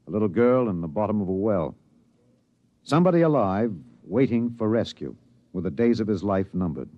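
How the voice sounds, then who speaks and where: An actor playing an earnest, seasoned radio documentary editor in a 1950s radio drama. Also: male voice, medium pitch, dark tone, close to an everyday voice. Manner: solemn narration broken by offhand studio talk. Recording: roomy, dull 1950s radio recording.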